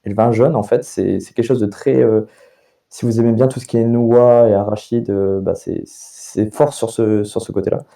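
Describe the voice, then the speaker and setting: A man's voice: fairly low pitch, steady tone, normal speed, creaky, mellow. A young man, a bartender and sommelier, chatting casually on a podcast, with lots of hesitations and repeated words.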